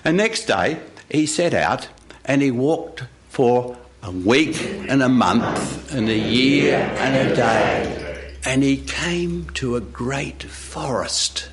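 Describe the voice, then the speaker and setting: A man telling a story to a live audience, with deliberate, dramatic phrasing and pauses. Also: mid-range voice, lively intonation, over a noisy crowd. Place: radio studio with live audience.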